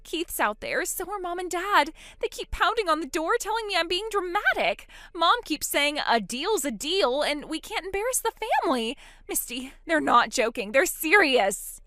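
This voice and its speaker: A woman voicing a frightened young woman who pleads and panics in a high, strained voice. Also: very animated, normal speed, hardly any pauses, bright, forceful tone, dry recording, studio quality, full character voice. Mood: panicked.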